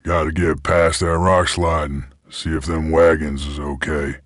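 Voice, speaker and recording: A weary, menacing frontier bounty hunter creature from a video game, speaks in an extremely deep, husky voice. His Western American drawl is slangy and deadpan. The recording is close and dry. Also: measured pace, no echo. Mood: gruff, businesslike. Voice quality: husky, gravelly. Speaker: game protagonist. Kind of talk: video game character lines.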